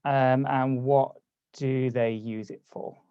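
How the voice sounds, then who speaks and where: A man's voice: mid-range voice, steady tone, slow, smooth, no room sound, dull audio. A man hosting a live online workshop over a video call, casual and conversational, losing his voice. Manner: casual, conversational, reads chat aloud. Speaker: workshop host.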